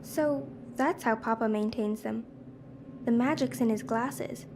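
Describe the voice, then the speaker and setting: A woman's voice: on the high side, a little slow, background noise. An actress playing a curious, wry young woman in a video game, musing casually to herself. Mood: intrigued. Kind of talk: video game character monologue.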